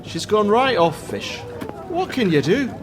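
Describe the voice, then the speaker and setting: Man acting a lively, high-voiced Scottish fishmonger. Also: unhurried, background noise. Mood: jovial banter. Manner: jokey, salesman-like banter. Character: cheery, joking male Scottish fishmonger, eager to chat. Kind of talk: radio play monologue. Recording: busy street bustle behind voice.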